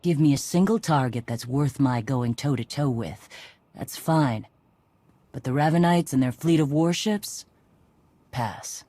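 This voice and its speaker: A woman's voice: extremely low pitch, unhurried, warm tone, no echo. Tough female warrior leader, cocky, sardonic, casual, video game dialogue, dry recording. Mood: firm, dismissive.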